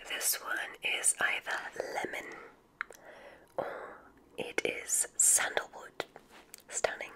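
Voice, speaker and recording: Woman whispering a slow ASMR roleplay. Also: rough recording. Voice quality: breathy whisper. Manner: gentle, soothing, deliberate, encouraging.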